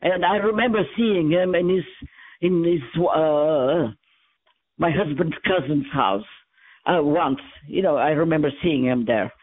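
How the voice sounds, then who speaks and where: A woman in her nineties, born in France and speaking, her Armenian, reminiscing haltingly and often searching for words. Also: deep voice, slightly rough. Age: 90s. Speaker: interviewee. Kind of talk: reminiscing in casual conversation. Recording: tinny phone-like line, dry.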